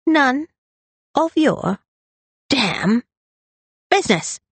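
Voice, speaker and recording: This is a drunken young gentleman of good family, acted by a woman reader. He drawls and slurs his taunts, sulky and mocking, with a pitch that swoops about. It fits a dramatised storybook. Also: big pitch swings, slow, slightly rough, dry recording, heavily acted. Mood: bitter. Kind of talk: dramatised audiobook character voice.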